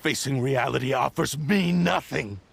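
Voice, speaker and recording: An actor voicing a proud, scornful cursed sword spirit in a video game, speaking in an imperious, archaic, deliberate manner. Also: male voice, mid-range voice, vocal fry, slight background noise. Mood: scornful, menacing. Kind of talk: dramatic video game villain acting.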